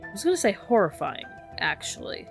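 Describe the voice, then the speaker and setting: An actress playing a jaded, sardonic police detective in an audio drama, with a weary, dry manner. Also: female voice, mid-range voice, very animated, brisk, music in the background.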